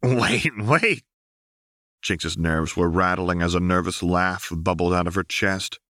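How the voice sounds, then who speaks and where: A man's voice: sits low, level tone, moderate pace, a bit bright, no room sound, studio quality. A man reading scripted fiction aloud as an audiobook narrator.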